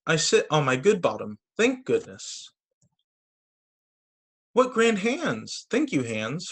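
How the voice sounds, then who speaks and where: A man reading children's picture books aloud as a story time teacher, in an expressive, sing-song storytelling manner with short asides, over a video call. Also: on the high side.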